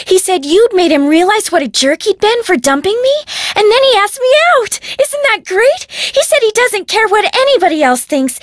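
An actress playing a gossipy, excitable, girlish young woman in a video game, speaking dramatically. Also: very high-pitched, dry recording, clean studio sound. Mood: giddy, excited. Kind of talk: video game character acting.

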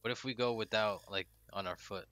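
A man's voice: fairly low pitch, fairly even intonation, fairly bright tone, no echo. A man on a headset chatting with a friend while playing an online game, joking around and trash-talking the enemy players. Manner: fast, jokey trash talk. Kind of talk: casual gaming chat.

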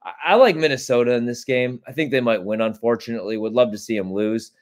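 A man's voice: mid-range voice, fast talker. An American man in his thirties chatting casually on a sports betting podcast over a video call, blunt and rambling, with plenty of swearing. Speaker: guest sports betting analyst. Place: home kitchen, on a video call.

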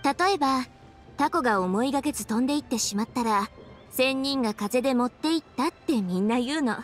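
Young female game official: high register, poised, earnest, explanatory delivery. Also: expressive, a little fast, soft background music. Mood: pleased, reflective. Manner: composed, articulate, explanatory. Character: poised, thoughtful young woman official devoted to tradition. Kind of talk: anime video game voice acting.

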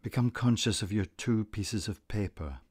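A man narrating a scripted guided meditation, giving gentle instructions in a calm, deliberate manner. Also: fairly low pitch, slightly rough, no room sound.

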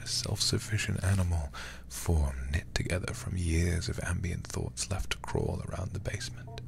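A man streaming a game as ASMR, reading aloud and chatting softly in an intimate, soothing, breathy way, close to the microphone. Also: sits low, moderate pace, background noise. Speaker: livestreamer playing horror games.

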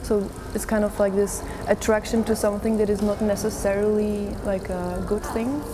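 A woman artist explaining her light installation in a thoughtful, halting way. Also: mid-range voice, little pitch movement, crowd noise. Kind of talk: explaining an artwork.

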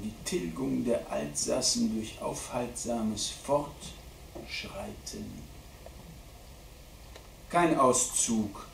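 A man giving a live dramatic poetry reading at a literary event, declaiming deliberately with strong shifts between hushed and loud delivery. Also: medium pitch, creaky. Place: indoor literary event venue at a theatre. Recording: roomy live hall recording, distant mic, faint noise.

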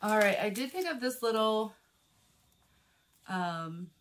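A woman in her forties talks in a chatty, friendly way, her voice a touch hoarse and husky from a recent illness. She is in a small indoor room, speaking close to a phone camera mic. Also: mid-range voice, expressive, slow pace. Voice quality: a little husky. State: slightly hoarse after illness. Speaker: vlogger host. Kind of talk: casual shopping haul chat. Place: small indoor room in front of a plain wall. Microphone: phone camera with a clip or shotgun mic at close range.

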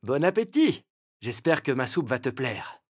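A man voicing a cheerful, greedy male fox in a children's cartoon, talking in a lively, eager way. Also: medium pitch, unhurried. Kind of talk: French children's cartoon dubbing. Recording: music bed under voice.

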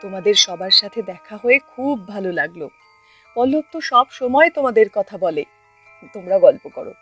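A woman's voice: medium pitch, animated, fairly quick, fairly bright tone, husky, soft background music. A woman playing a kindly, hospitable middle-aged village mother in a cartoon story. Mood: welcoming.

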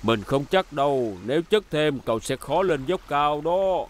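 A man's voice: fairly high pitch, animated, moderate pace, natural voice. A man voicing a sensible, friendly young rescue robot with a matter-of-fact manner in a children's cartoon dub.

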